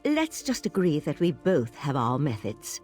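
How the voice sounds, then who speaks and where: A woman playing a shrewd, secretive investigator in a video game, calm and curious, with a measured, slightly wry manner. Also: low voice, full character voice. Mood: intrigued, guarded. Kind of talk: video game character dialogue. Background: music.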